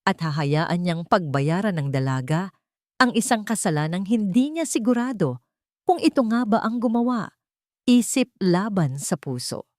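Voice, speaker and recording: A woman reading a dramatic scripted story as narrator, in a measured and solemn delivery. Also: on the low side, mellow, no echo, clean studio sound.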